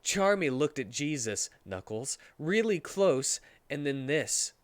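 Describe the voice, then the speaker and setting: A man's voice: fairly high pitch, sing-song, slow, dry recording. A man reading a story aloud as a comedic dramatic performance, sometimes stumbling over the words.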